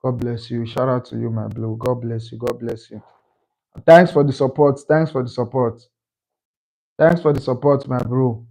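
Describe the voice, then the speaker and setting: A man in his twenties hosting a live stream, thanking viewers and repeating shout-outs to the chat. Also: medium pitch, steady tone, moderate pace, vocal fry, poor audio. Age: 20s. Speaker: livestream host.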